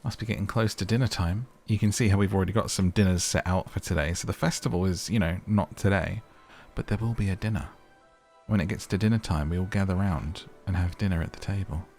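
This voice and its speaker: Low-pitched playful man. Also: fast, a little mellow, soft background music. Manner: playful, chatty, improvising. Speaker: gaming video host. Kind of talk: casual gameplay commentary.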